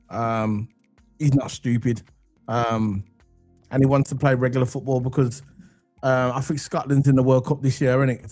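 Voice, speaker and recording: A man hosting a casual football live stream, croaky from a cold, loose and chatty with lots of ums as he talks to the chat. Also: mid-range voice. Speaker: football channel host. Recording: faint background music under voice. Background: soft background music.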